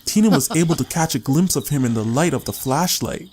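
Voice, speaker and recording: A man's voice: fairly high pitch, animated, brisk, a little mellow. Male audiobook narrator over noisy night ambience. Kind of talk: dramatic scripted story narration.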